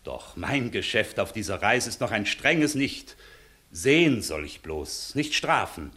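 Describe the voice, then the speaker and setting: An actor playing a courteous, authoritative visiting court inspector in a 1960s German radio play, speaking in a formal, measured manner. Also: male voice, on the low side, big pitch swings, pushed and bright, heavily acted. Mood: courteous, official.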